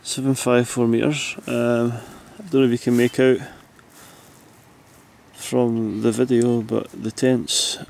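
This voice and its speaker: A man's voice: medium pitch, level tone, slow, vocal fry, darkish tone. A man giving casual, understated and hesitant narration of a hiking trip on a handheld camera. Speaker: hiker filming a camping trip. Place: outdoors on hills and inside a small tent. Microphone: handheld camera mic.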